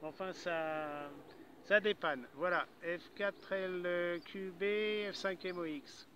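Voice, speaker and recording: Bright-voiced Frenchman chatting over ham radio. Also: sits high, fairly even intonation, takes it slowly, a little hiss or hum. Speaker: amateur radio operator. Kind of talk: casual amateur radio chat.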